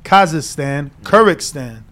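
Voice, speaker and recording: A man hosting a boxing talk show, firing off quick questions and teasing his guest in casual chat. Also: on the high side, lively intonation, fairly bright tone. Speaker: podcast host.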